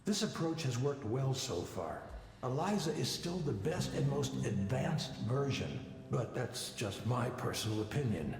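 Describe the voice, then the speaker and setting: An actor playing a confident male psychologist giving a measured, didactic conference talk in a visual novel game. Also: mid-range voice, sing-song, a little hiss or hum. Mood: measured, instructive. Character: confident male psychologist, former tech lead, lecturing an audience. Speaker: conference speaker. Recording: echoey hall reverb, faint music behind.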